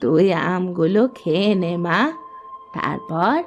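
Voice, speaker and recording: A gentle old village grandmother, put on by a woman in a cartoon, slow and soothing. The voice drops lower than the narrator's girlish tones, with faint music underneath. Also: lo-fi sound.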